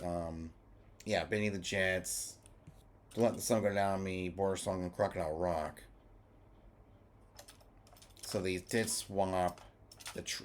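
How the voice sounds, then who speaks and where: A man giving a casual, rambling review, halting and restating his points as he goes. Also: low-pitched, slight background noise. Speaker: vlogger reviewing music formats.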